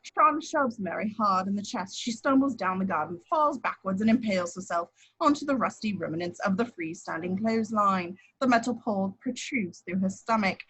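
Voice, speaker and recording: Woman reading stage directions for a movie script, mid pitch, bit husky. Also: expressive, normal speed, few pauses, a bit bright, rough recording. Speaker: narrator of action descriptions. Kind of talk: scripted screenplay narration.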